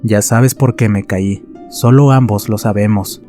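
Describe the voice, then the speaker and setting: A man voicing an ailing, secretive mother who soothes her son in a horror story, slow and pleading. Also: fairly low pitch, steady tone, mellow, faint music behind, natural voice. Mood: weary pleading.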